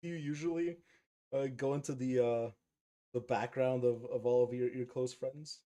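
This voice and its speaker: A man's voice: medium pitch, normal speed. A man with a slight Polish accent, chatting casually and rambling while painting miniatures on a live stream. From United States, raised speaking Polish. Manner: relaxed, rambling, many fillers and restarts.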